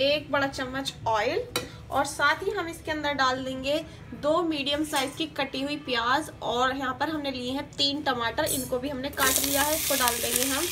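Female cooking host, high voice, measured step-by-step recipe read, noisy kitchen behind. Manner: steady instructional, repeats each step. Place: home kitchen. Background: sizzling and stirring in a pan.

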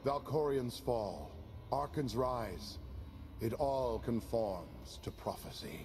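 Low-pitched fanatical cult leader, imperious, theatrical, video game cutscene, music behind. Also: male voice. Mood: ominous. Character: fanatical, menacing male cult leader of a gang.

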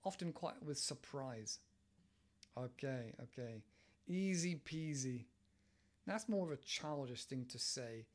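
A man in his forties with an English accent, explaining idioms in a relaxed, rambling way on a home podcast, with many fillers and restarts. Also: medium pitch, takes it slowly. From England. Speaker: English teacher podcast host. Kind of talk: casual explanatory monologue. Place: living room at home. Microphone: headset with desk mic, webcam.

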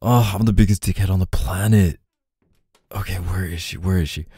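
A young man playing an easygoing, tender boyfriend in an intimate roleplay audio, speaking softly and hesitantly in casual slang. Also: low voice, fairly even intonation, moderate pace, breathy edge, no echo, studio quality. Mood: dismayed, regretful.

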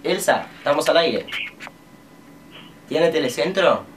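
A man's voice: on the high side, animated, takes it slowly. A young Argentine man speaks casually, like a prize announcer on a prank phone call. He is picked up a little far from the mic, with faint noise around him in a bedroom. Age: young adult. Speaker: prank caller. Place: small bedroom. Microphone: camera across the room. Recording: distant camera mic, faint room noise.

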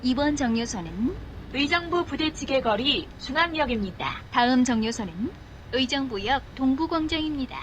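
Woman, bus stop announcer, high lively intonation, formal scripted delivery, noisy moving-bus recording. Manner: formal, measured. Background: vehicle noise.

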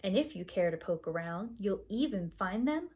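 Animated woman reading a picture book. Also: medium pitch, slightly rough. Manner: expressive, sing-song rhyming delivery with deliberate pauses. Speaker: preschool teacher reading aloud. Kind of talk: animated picture-book read-aloud for young children.

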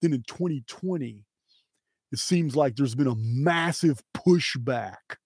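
Emphatic man, mellow close-miked voice. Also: mid-range voice, takes it slowly, breathy edge, dry recording. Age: middle-aged. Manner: emphatic, preachy, repeats phrases for emphasis. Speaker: channel host. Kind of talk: impassioned monologue to viewers. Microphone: close studio microphone on boom arm.

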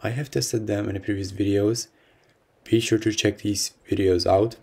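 A young man hosting a software tutorial in a vlog style, talking to camera indoors. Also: fairly low pitch, steady tone, moderate pace. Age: young adult. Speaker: software demo host. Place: small indoor attic room at home.

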